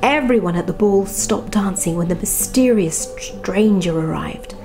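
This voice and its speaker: A woman reads a bedtime story in a soft, expressive storyteller's voice at a middle pitch. She slips into chatty little asides as she goes. Music plays clearly behind her. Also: unhurried, rough recording.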